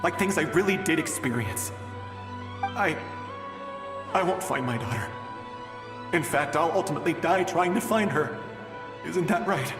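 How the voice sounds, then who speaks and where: Long echoing reverb surrounds a grieving, worn-out father in a fantasy video game. His fairly high male voice is plaintive and halting, full of resignation, with music playing underneath. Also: animated. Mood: confused, distressed. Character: weary grieving father from an ancient age, searching for his daughter. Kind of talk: dramatic video game voice acting.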